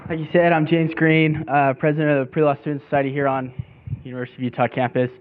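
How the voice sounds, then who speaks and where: Young man with a smooth voice. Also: fairly high pitch, level tone, fast. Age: young adult. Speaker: student society president. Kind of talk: brief promotional talk. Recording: phone-like thin recording.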